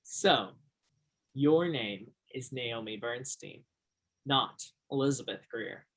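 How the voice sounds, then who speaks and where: An actor playing an earnest, curious twenty-two-year-old backwoods local in a stage play, gentle and simple in manner. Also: medium pitch, slow, slightly rough, exaggerated voice. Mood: reassuring.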